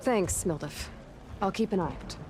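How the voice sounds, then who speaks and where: A woman acting a young huntress from a video game. Her voice is medium in pitch, calm and plain-spoken. She sounds like a practical adventurer. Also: moderate pace, music in the background, natural voice. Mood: calm, measured.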